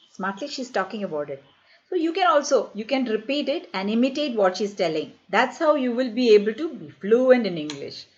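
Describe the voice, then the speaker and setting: A woman from India teaching an English lesson to children, repeating words slowly and coaxing her pupils to speak. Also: medium pitch, lo-fi sound.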